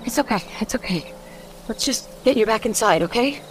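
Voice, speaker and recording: A woman's voice: a little high, animated, brisk, a little hiss or hum, close to an everyday voice, rough recording. An actress playing a protective, resolute mother in an audio drama, speaking firmly and earnestly. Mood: soothing.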